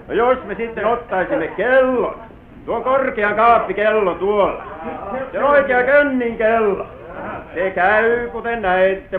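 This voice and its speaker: A man's voice: sits high, natural voice. A male actor playing a joking country auctioneer with theatrical patter in an old radio play. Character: jocular male country auctioneer, wordplay and drawn-out calls. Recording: old narrow-band radio recording, roomy echo.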